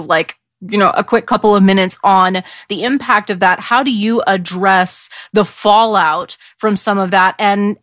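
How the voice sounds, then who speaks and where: Female interview host, conversational and slightly halting, dry studio-tight sound. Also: mid-range voice, fairly bright tone, no room sound.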